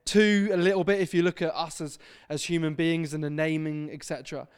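A man in his thirties from Devon gives a friendly, enthusiastic sermon to a church, often joking at his own expense. His voice sounds smooth and resonant and sits a little high for a man. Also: fairly even intonation, a little fast. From England (Devon). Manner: chatty, self-deprecating, enthusiastic. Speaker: visiting preacher. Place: church hall.

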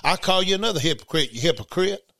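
High-pitched preacher man, angry and scolding. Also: lively intonation, fast talker, pushed and bright, no echo. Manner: indignant, confrontational, scolding; rhetorical questions and repeated refrains. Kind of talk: fiery informal sermon.

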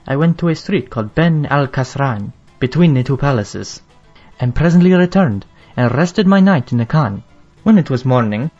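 A man's voice: a little high, a little mellow. Man acting a formal merchant storyteller, slightly muffled. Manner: formal, declamatory. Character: proud, sorrowful young merchant from Baghdad telling his tale. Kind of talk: theatrical audio drama storytelling in archaic English. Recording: dull lo-fi sound, faint music behind.

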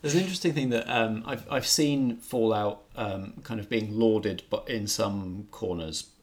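Male arts show co-host, lowish pitch, conversational, thinks aloud haltingly. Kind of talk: casual film discussion.

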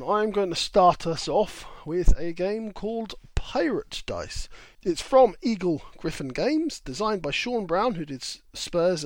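A man's voice: a little high, no room sound. Chatty man reviewing board games. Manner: explains rules step by step, chatty. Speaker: podcast co-host. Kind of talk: casual board game review chat.

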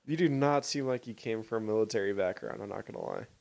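A man's voice: fairly low pitch, quick pace, darkish tone. A man hosting a podcast, asking questions with short, easygoing reactions. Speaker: podcast host. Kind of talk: casual interview chat.

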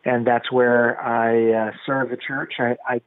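A man's voice: medium pitch, level tone, measured pace, no room sound. Middle-aged man on a tinny phone line. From United States, raised in Miami, Florida. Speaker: interview guest, ministry founder, deacon. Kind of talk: conversational personal account on radio.

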